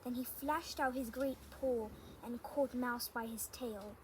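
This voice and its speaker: High-voiced woman reading a children's story slowly. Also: steady tone, slight background noise, rough recording. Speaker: storybook reader, channel host. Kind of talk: children's story read-aloud.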